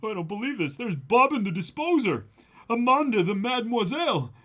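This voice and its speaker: A man's voice: high voice, very animated, brisk, sharp tone, voice pushed up, full character voice. A man voicing an alarmed, awed onlooker who exclaims over fearsome villains in a comic reading.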